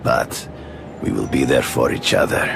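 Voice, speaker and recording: An actor playing a proud, formal older master hunter in a video game, speaking in measured, solemn, gravelly phrases. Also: male voice, fairly even intonation, music in the background. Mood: intent, persuasive. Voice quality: deep, gravelly. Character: proud, brooding older male master hunter seeking a protégé. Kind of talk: dramatic video game acting.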